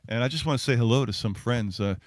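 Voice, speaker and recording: A middle-aged or older man, a musician, chatting casually to an online audience in a halting, rambling, reminiscing way. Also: medium pitch, no echo. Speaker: singer and musician hosting a livestreamed set. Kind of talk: casual between-song chat to an online audience.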